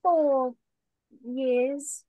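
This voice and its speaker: A woman's voice: high voice, steady tone, drawn-out words, dark tone, no room sound. A woman reading the part of a nervous, giggly young woman who tells her parents about her engagement, in a read-through over a video call. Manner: hesitant, giggly.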